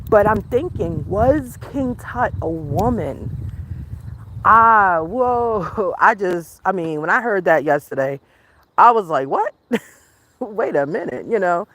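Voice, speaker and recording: A woman's voice: medium pitch, lively intonation, takes it slowly, a bit bright, a little hiss or hum. An American woman hosting a livestream, chatting casually and rambling to her viewers while out on a walk outdoors. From United States. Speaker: livestream host. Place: outdoors on a walk, rural or natural setting.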